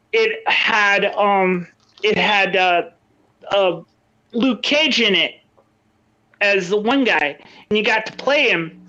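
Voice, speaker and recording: A casual podcast co-host recorded at home on a personal mic. The voice sounds bright and forward, but the recording is dull and lo-fi. They ramble a little, with frequent ums and restarts. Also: mid-range voice. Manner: hesitant, many ums and restarts. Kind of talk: casual chat. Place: home, with children in another room. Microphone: own home mic.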